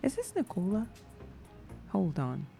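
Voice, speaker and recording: A woman's voice: mid-range voice, huge pitch swings, measured pace, creaky, mellow, slightly rough, soft background music. A woman with a Guyanese accent, co-hosting a live morning talk show, laughing easily and greeting viewers. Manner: bubbly, laughs often, reads viewer comments. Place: TV studio.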